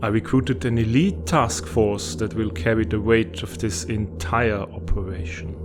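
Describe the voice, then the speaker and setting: A man's voice: medium pitch, natural voice. A man playing a sinister, coolly menacing villain who speaks formally and theatrically in a comic fandub. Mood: gloating. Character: sinister scheming male villain, coolly menacing and self-assured. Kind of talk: dramatic comic fandub acting.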